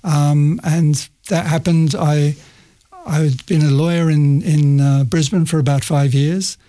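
Man, middle-aged, interview guest, rambling anecdotes, raised pitch, warm mellow tone. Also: steady tone, a little slow. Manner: rambling, self-interrupting, frequent laughs. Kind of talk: casual conversational storytelling.

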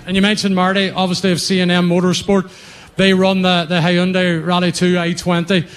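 A man hosting live stage interviews at a rally event, brisk and chatty. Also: high voice, completely flat delivery, clear, resonant tone. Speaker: event host.

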